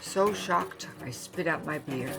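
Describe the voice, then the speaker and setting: Older woman, American accent, gravelly fry, spoken-word poem, short measured phrases, light music underneath. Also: low voice. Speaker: poet reading own work. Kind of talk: spoken-word poetry reading. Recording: music behind the voice.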